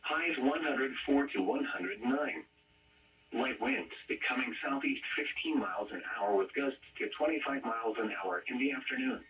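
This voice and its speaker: A man's voice: mid-range voice, very animated, moderate pace, a bit bright, distant mic, slight background noise, poor audio. A man reading a scripted weather bulletin in a formal, automated-sounding way over a weather radio broadcast. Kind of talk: scripted weather forecast and test announcement.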